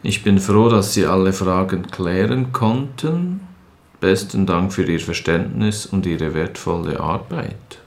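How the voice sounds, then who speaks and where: A man's voice: deep voice, expressive, a little slow. A man reading formal complaint letters aloud in an emphatic, insistent manner.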